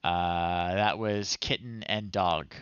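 Bright, clear, slow-talking man streamer. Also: low-pitched, dry recording, highs cut off. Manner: unhurried, amused, halting with pauses. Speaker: livestream host. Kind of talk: casual livestream commentary, reading children's stories aloud. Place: small home room, indoors.

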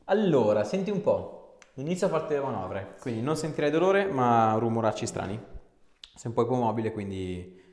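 A man's voice: medium pitch, sing-song, moderate pace, darkish tone. A man, working as a chiropractor, giving short repeated coaxing instructions and chatting casually with joking asides. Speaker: chiropractor treating a patient. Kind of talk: hands-on instructions and casual chat with patient. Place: small indoor treatment room. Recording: echoey small room, faint background noise.

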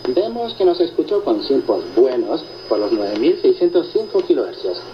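A man's voice: medium pitch, sing-song, normal speed. A man co-hosting a radio program, reading and answering listener reports in a conversational presenting style, heard over shortwave. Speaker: radio host. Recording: noisy shortwave radio reception, muffled, echoey. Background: loud static noise.

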